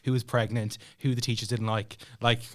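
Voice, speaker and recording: A man's voice: medium pitch, flat delivery, brisk. Chatty young Irish man telling stories. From Ireland. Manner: self-deprecating, chatty. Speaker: podcast guest. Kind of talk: casual conversational storytelling.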